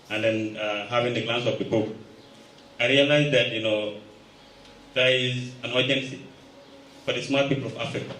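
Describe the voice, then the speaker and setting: A man's voice: fairly low pitch, pushed and bright, slight background noise. A man from the audience at a round table, asking a long question with many hesitations and restarts. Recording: echoey room, picked up from a distance.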